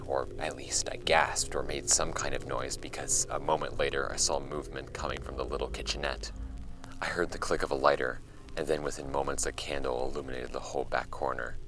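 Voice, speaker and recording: An extremely deep, creaky male voice reads eerie case files for a horror audio drama. He is measured, hushed and deliberate, a skeptical archivist, with music playing underneath. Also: steady tone. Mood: hushed dread.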